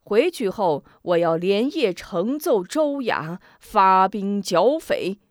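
A woman voicing a two-faced male county magistrate who wails loudly and speaks in a pompous official manner. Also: mid-range voice, big pitch swings, slow, dry recording, studio quality. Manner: loud, weepy, pompous official wailing.

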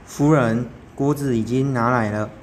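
A man's voice: medium pitch, steady tone, a little slow, close to an everyday voice. A teenage male student playing a deferential household maid in an amateur radio drama. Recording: faint rain noise behind. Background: rain.

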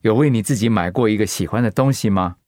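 A man's voice: on the low side, fast talker, darkish tone, no room sound, clean studio sound, close to an everyday voice. A man playing a supportive, brotherly friend in a radio drama, talking in an upbeat, familiar, encouraging way.

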